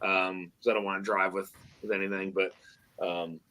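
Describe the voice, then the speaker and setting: Bright-voiced man hosting a livestream. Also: deep voice, level tone, normal speed. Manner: rambling, hesitant, frequent fillers. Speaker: livestream host. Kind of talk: casual chat and reading viewer messages.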